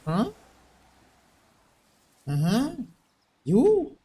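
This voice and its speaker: A woman's voice: mid-range voice, huge pitch swings, very slow, warm tone, slightly rough. A woman reacting casually over video game play in short remarks. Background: faint game music.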